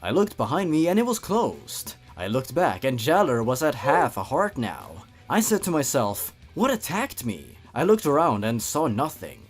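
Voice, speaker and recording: A man's voice: mid-range voice, wildly swooping pitch, normal speed, hardly any pauses, husky, faint music behind. A man hosting a comedic reading, moving between dramatic narration and wry, sarcastic asides with theatrical emphasis. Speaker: comedy video host reading and commenting on horror fan fiction. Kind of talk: comedic commentary mixed with dramatic story read-aloud.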